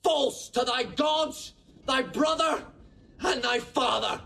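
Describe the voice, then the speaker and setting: A young noble knight in a Shakespearean audio drama. His voice is strikingly high and sharp, and he declaims slowly and proudly, throwing out each phrase in defiance. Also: gravelly, full character voice. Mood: righteous fury. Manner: declamatory, slow, ringing delivery.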